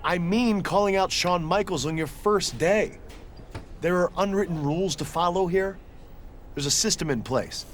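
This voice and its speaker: Actor as higher-voiced indignant rival wrestler. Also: male voice, moderate pace, a little hiss or hum, natural voice. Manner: confrontational. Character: jealous, indignant young male rival wrestling prospect. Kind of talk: video game cutscene acting.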